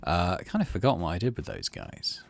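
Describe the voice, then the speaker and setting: A man's voice: deep voice, wildly swooping pitch, a little fast, gravelly. A man hosting a gaming let's play, chatting and thinking aloud between stretches of read-out narration. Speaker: gaming video host. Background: game music.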